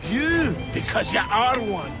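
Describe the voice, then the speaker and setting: A rough-mannered lumberjack in a video game. His voice is high and animated, blunt and emphatic. Music is clearly heard behind him. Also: slow, heavily acted. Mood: hearty, teasing. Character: brash, cheerful lumberjack, rough and teasing. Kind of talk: video game cutscene acting.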